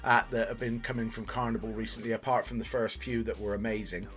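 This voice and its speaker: A man's voice: lowish voice, fairly even intonation, fast, a bit bright. A man from Bristol with a local accent, giving rambling, self-deprecating, mildly sweary commentary as host of a gaming video. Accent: Bristol English. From Bristol, England. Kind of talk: casual video game commentary.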